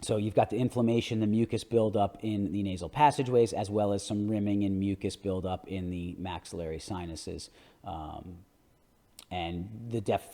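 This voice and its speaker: Low-voiced man lecturing in a reverberant space. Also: dark tone. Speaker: orthodontist hosting an educational podcast. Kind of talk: educational lecture commentary on images. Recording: long hall-like reverb.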